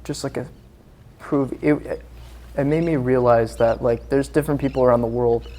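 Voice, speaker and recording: A man who grew up in Singapore answers interview questions in an earnest, meandering way. His pitch sits in a mid range, and his sentences trail off and restart, padded with small fillers. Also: steady tone, moderate pace, dark tone. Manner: earnest, rambling, with many fillers. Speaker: guest. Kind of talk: casual interview chat.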